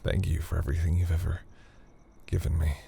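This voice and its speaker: Ancient vampire boyfriend, male actor, low husky register, tender and bashful, halting formal delivery for intimate audio roleplay. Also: little pitch movement, dark tone, heavily acted. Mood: loving, grateful. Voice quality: deep, gravelly, husky. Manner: hesitant, halting, earnest, formal wording.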